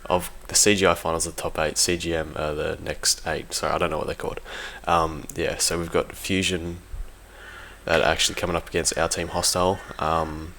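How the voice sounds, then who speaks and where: Low, flat-toned young-sounding gamer guy, bright edge. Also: brisk, slight background noise. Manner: hesitant, informal, self-correcting. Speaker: competitive gaming team member giving an audio log. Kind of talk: casual unscripted commentary.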